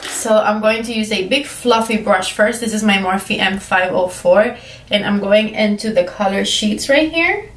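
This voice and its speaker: A woman's voice: mid-range voice, a little slow, a little hiss or hum. A young woman reviewing makeup in a casual, chatty way, her voice stuffy and congested from a cold. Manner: chatty, enthusiastic, many "like" fillers. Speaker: beauty vlogger. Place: indoor room with shelves. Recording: roomy echo, voice slightly far from mic.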